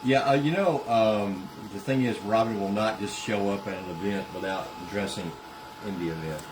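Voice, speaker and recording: Low-voiced teasing husband. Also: male voice, moderate pace, background noise. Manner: teasing, reads out viewer comments. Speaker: host's husband and co-host. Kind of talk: casual livestream banter.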